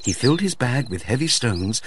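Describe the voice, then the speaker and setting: A man narrating a children's fairy tale as a storyteller, in an expressive, theatrical way. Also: medium pitch, fairly quick, noisy background. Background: music.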